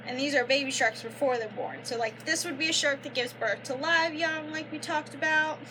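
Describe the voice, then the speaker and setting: A young woman reading a children's picture book aloud like a teacher, pausing to explain and prompt with questions. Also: sits high, noisy background. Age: young adult. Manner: instructive, prompting listeners with questions and affirmations.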